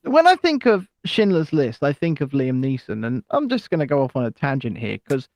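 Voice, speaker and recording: A man's voice: medium pitch, wildly swooping pitch, a little fast, darkish tone, no room sound, highs cut off. A man co-hosting a live podcast, rambling casually onto tangents with plenty of ums. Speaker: podcast co-host.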